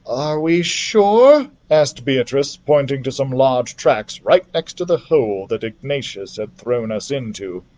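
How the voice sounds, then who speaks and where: A man reading a children's adventure novel aloud as a story-time host, with an animated, theatrical delivery. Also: medium pitch, unhurried, hardly any pauses.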